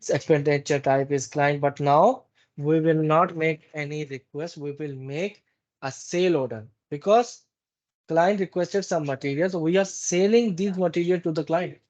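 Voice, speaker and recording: A man giving a live software training walkthrough in a halting, instructive way, often repeating phrases. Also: on the high side. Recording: dull, muffled lo-fi meeting recording.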